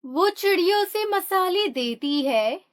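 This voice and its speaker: Woman narrator, extremely high childlike pitch, slow pace, children's storytelling. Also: animated.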